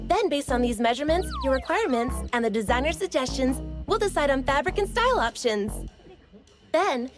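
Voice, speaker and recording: A woman playing a polite, professional boutique shop clerk in an anime game, with formal customer-service courtesy. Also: sits high. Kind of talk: anime game dialogue acting.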